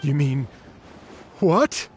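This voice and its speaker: A man's voice: a little high, very animated, very slow, heavy background noise, heavily acted. An actor playing a cautious, formal male musician who organizes a festival and speaks hesitantly but precisely. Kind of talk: game cutscene voice acting. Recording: game audio with background music.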